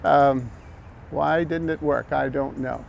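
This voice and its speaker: A man's voice: on the high side, fairly even intonation, slow pace, vocal fry, dull audio. An older American man talking casually and explaining things in a hesitant, reflective way. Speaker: amateur radio operator and vlog host. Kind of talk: casual explanatory vlog talk. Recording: outdoor background noise.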